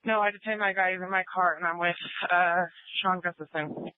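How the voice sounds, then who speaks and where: A male officer comes over a tinny police radio with a high, flat voice. He gives short updates from the field. The signal is thin and phone-like. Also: moderate pace, smooth, dry recording. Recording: tinny narrow-band police scanner audio.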